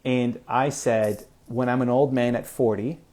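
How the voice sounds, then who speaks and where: A man's voice: medium pitch, a little slow. Upbeat man in his forties coaching viewers. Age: 40s. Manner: energetic, encouraging, conversational with fillers. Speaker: motivational livestream host. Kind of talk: live Q&A answering viewer comments.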